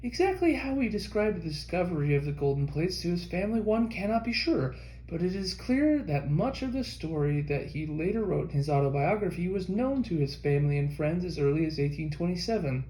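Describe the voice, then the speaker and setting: A man reading a history book aloud as an audiobook narrator, in a measured scripted delivery. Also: high-pitched, animated, mellow, echoey room, poor audio. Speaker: audiobook reader.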